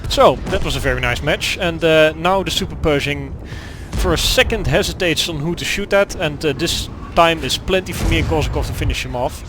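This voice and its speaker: A young Dutch man talks through a tank game replay in English, stopping often for ums and uhs and restarting his sentences. His voice sits a little high for a man, and he speaks with a Dutch accent. A faint hiss of noise runs under the recording. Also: a little fast. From Netherlands. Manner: hesitant, many fillers and restarts. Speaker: gaming commentator. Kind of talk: gameplay commentary.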